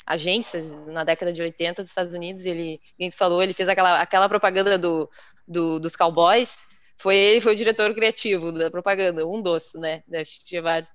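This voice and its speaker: A woman's voice: fairly low pitch, fairly even intonation, brisk, a bit bright, smooth, no room sound. A young woman, a guest on a live video call, explaining with many fillers and repeated words. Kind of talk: live webinar conversation. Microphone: headset on video call. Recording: dull dry video-call audio.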